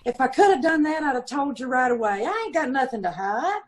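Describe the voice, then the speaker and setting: A woman's voice: high-pitched, lively intonation, fairly quick. A woman playing a blunt, defensive apartment manager and mother in an old-time radio drama, with colloquial working-class speech.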